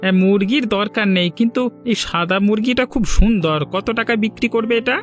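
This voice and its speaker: A man acting a genteel gentleman from the city in a cartoon folk tale. His voice is very high for a man, and light music plays behind it. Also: a little fast, close to an everyday voice. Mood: curious, pleased.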